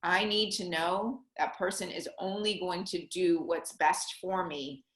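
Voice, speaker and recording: A middle-aged American woman talks about money and her past in an earnest, personal way, her voice sitting a little low. She speaks in quick bursts, and the room around her rings with echo. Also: fairly even intonation, fairly bright tone. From Connecticut, USA. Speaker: guest, money coach. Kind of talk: conversational interview.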